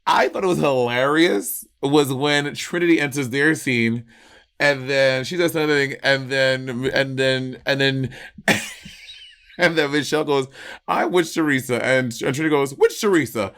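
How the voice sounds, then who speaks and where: A man in his thirties with an American accent co-hosting a casual review chat, retelling moments in an animated way with acted-out quotes. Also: medium pitch, measured pace. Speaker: podcast co-host. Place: small indoor room at home.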